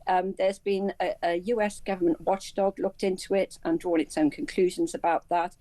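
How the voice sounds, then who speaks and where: A woman speaking as an expert guest in a live news interview, explaining in halting phrases with repeated words. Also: fairly low pitch, steady tone, warm tone, no room sound. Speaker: aviation and travel commentator, interview guest.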